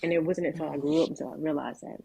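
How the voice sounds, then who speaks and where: A woman with a deep, low voice and a gravelly, husky edge speaks candidly about her past. She talks in a reflective, halting way, with many restarts and filler words. The sound is close and dry. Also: steady tone, dark tone. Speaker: guest sharing her testimony. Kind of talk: candid conversational testimony.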